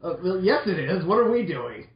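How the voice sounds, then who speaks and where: A man's voice: sits high, big pitch swings, moderate pace. A man giving casual, mocking commentary as he reads amateur fiction aloud, sarcastic, incredulous and sweary. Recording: echoey room, dull lo-fi audio.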